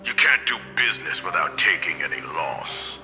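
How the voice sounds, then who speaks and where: A man's voice: deep voice, expressive, moderate pace, music in the background. An actor playing a cold, condescending male corporate executive in a video game. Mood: dismissive. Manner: condescending, brusque. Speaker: game character.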